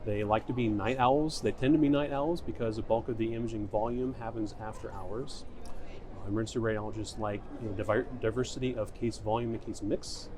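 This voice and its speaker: A middle-aged man giving measured, explanatory interview answers as a medical specialist, often restating his point. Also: on the low side, steady tone. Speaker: emergency radiologist being interviewed. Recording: clear ambient venue noise. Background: background ambience noise.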